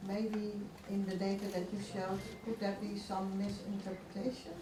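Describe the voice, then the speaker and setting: A woman moderating a conference session and live scan, calm and measured as she asks probing questions. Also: mid-range voice. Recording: distant mic in an echoey hall with noise.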